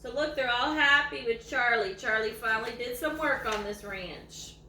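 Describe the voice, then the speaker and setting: A woman's voice: fairly high pitch, normal speed, pushed and bright. A woman doing a picture book read-aloud for children, adding chatty, cooing asides. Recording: echoey room, distant mic, faint noise.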